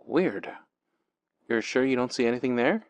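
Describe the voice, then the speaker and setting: A man speaking as a boyfriend in an ASMR roleplay, soft, intimate and teasing, close to the microphone. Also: medium pitch, lively intonation, moderate pace, no room sound.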